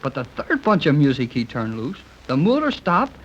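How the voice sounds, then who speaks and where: An eager young man, lively and folksy, lo-fi old radio. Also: fairly high pitch, normal speed. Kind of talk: 1930s radio acting. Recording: muffled old radio recording, faint hiss.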